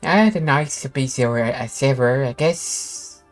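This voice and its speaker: A woman with a very deep voice streams a video game. She comments casually in short, offhand, low-key remarks, with faint music behind her. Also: measured pace.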